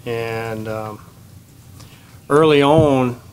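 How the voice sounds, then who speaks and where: An older man, a baseball head coach, answering questions at a postgame press conference in a plain, measured way at a table microphone. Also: mid-range voice, a little mellow, slight background noise. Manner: measured, plain-spoken, many restarts. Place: press room. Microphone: table mic.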